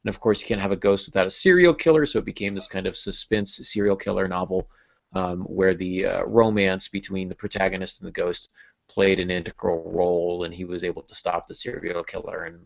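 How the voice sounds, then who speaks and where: Male podcast host, thirties, American. Low, clean voice with little fry, jokey, on lo-fi video call audio. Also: steady tone, brisk. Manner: jokey, self-deprecating. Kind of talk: casual podcast chat. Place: small home room on a video call.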